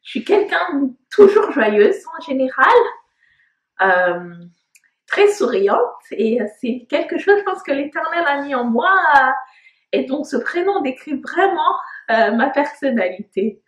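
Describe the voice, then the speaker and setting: Woman in her 30s from Congo, vlogger; slow, searching delivery with lots of fillers, bright higher voice, echoey room. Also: very animated. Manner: hesitant, many fillers, reflective. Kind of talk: casual personal vlog talk.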